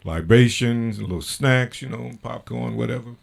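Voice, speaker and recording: A man's voice: mid-range voice, normal speed, gravelly. A man talking casually as an interview guest, repeating his phrases for emphasis. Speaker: guest event organizer. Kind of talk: casual chat.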